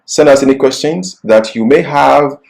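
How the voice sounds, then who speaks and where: A man delivering a scripted Bible lesson in an earnest, deliberate, emphatic manner. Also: mid-range voice, vocal fry, a little mellow. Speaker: Bible teacher. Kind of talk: religious lesson, scripted exposition.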